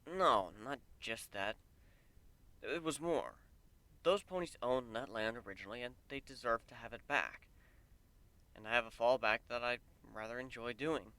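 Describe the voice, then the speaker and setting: A man's voice: medium pitch, normal speed, pushed and bright. A man voicing a male pegasus pony in a story reading, plainspoken, folksy, wry and earnest.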